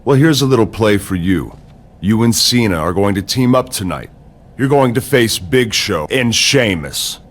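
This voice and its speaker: A man's voice: lowish voice, expressive, normal speed. A man playing a smug, vindictive wrestling general manager, taunting and domineering in a scripted game cutscene.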